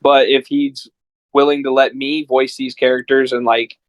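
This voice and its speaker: A man's voice: mid-range voice, level tone, moderate pace, dry recording. A man chatting casually as a podcast guest, telling stories in a rambling, enthusiastic way. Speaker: podcast guest and amateur voice actor. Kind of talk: casual chat.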